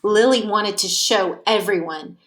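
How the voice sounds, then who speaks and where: Woman reading a storybook slowly. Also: medium pitch, fairly bright tone. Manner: deliberate, emphatic storytelling with drawn-out key words. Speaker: storyteller reading a children's picture book aloud. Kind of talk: expressive read-aloud narration.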